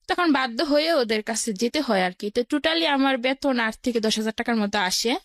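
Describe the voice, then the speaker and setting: A woman with a high voice and a Bangladeshi accent shares personal experience as a guest. She narrates in a plain, colloquial way, one step after another. The sound is dry and close. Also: no room sound. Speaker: guest telling her life story. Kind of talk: personal storytelling in an interview.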